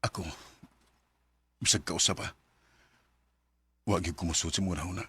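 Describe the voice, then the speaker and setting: An actor with a Cebuano Filipino accent playing an earnest, longing young suitor in a radio drama, with a very raspy, breathy voice. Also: male voice, sits low, fairly even intonation, moderate pace, bright, forceful tone, no room sound, full character voice. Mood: pleading.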